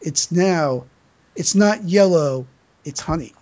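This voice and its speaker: A man hosting a casual podcast news segment, with a husky voice and a halting delivery that repeats words. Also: a little high, lively intonation, darkish tone. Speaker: podcast host reading news items.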